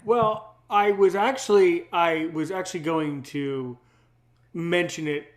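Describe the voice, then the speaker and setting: Podcast host guy, voice a bit on the high side, chatty, repeats himself and stops and starts. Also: slow pace. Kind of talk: casual interview chat.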